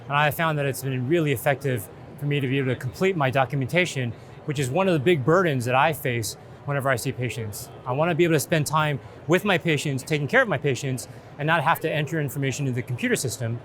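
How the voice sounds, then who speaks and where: A middle-aged man, a physician, gives a spoken testimonial in a measured, articulate way. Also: medium pitch, fairly bright tone. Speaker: physician, chief medical officer. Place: indoor trade show booth in large exhibition hall. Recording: busy convention hall background noise. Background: exhibition hall noise.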